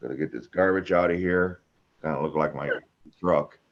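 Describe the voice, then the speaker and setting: A man's voice: rumbling low, moderate pace, highs cut off. A man chatting casually with friends from his truck, folksy and rambling, joking as he goes. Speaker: trucker streaming a live chat with friends. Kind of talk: casual chat.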